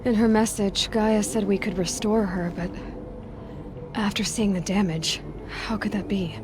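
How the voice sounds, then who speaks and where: An actress playing a determined young huntress who speaks in a quiet, searching way. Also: female voice, medium pitch, steady tone, unhurried, background noise, natural voice. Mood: troubled. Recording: game audio with loud ambience.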